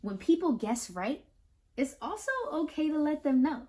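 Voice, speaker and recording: American woman, children's read-aloud host, high voice, expressive and affirming, speaks directly to kids. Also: normal speed. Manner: affirming, reassuring, speaks directly to young listeners; expressive, repeats phrases for emphasis. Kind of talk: picture book read-aloud with talk to children.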